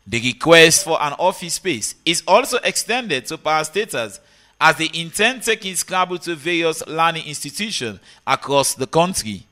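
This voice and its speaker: A man's voice: a little high, very animated, moderate pace, sharp tone. A man, narrating a scripted news report as a sports correspondent.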